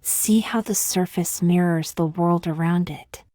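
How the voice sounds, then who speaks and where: Female meditation guide: lowish voice, very unhurried, calm delivery, close dry sound. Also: no echo. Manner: calm, unhurried, gently measured with long pauses. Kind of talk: guided meditation, scripted soothing narration.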